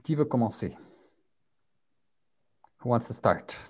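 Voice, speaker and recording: Husky French teacher on a video call. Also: male voice, medium pitch, expressive, slow pace. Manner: switches between French and English, repeats key phrases for learners. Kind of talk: live online group lesson.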